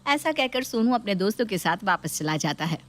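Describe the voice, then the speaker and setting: A woman's voice: mid-range voice, sing-song, fairly quick, fairly bright tone. A woman narrating a scripted family story.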